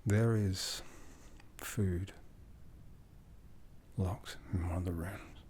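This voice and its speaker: A man playing an aloof, commanding aristocratic vampire in an ASMR roleplay, half-whispering slowly and deliberately with a breathy, seductive delivery. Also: sits low, steady tone, a little mellow, slight background noise. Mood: calm, commanding. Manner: slow, deliberate, measured.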